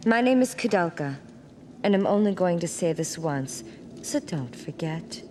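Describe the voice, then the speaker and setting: A woman's voice: fairly low pitch, lively intonation, measured pace, a little mellow, a little hiss or hum. An actress playing a curt, proud and guarded young woman medium in a video game, with a cold, dismissive delivery. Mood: stern. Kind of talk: dramatic video game voice acting.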